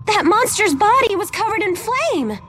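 A woman voicing an earnest, self-doubting teenage girl in a video game, expressive and plain-spoken. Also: very high voice, normal speed, heavily acted. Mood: animated. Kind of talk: English video game voice acting. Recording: game music behind the voice.

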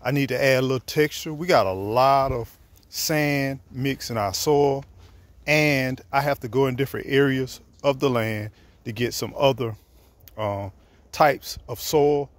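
A man's voice: medium pitch, unhurried, no room sound. A man, casually vlogging about his homestead outdoors, filmed on a handheld camera at arm's length. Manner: relaxed, conversational, drops to near whisper at times. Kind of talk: casual walking vlog. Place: outdoors on a wooded rural homestead.

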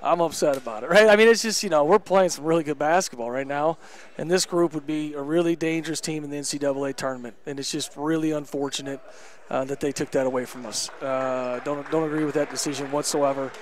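A man's voice: on the high side, fairly even intonation, quick pace, hardly any pauses. A man in his thirties, a head basketball coach, talking candidly after a game on a headset mic in a gym, with lots of fillers. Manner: candid, emphatic, many fillers. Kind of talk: post-game interview. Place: indoor gym court.

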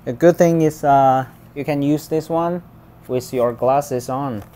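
Halting man talking at an unhurried pace. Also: medium pitch, warm tone. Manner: halting, searching for words. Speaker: vlogger. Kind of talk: casual unboxing and first-use demonstration. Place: room at home.

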